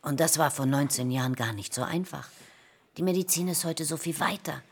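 A chatty, earnest middle-aged woman in a radio drama. Her voice is very low with a creaky grain. She talks emphatically and tries to reassure. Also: brisk. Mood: earnest, encouraging.

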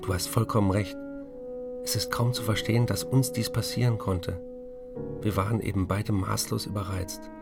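Troubled writer, low gravelly voice. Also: male voice, steady tone, normal speed. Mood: remorseful, earnest. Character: troubled male writer, remorseful and anxious, writing letters. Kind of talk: radio play letter reading. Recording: music under the voice.

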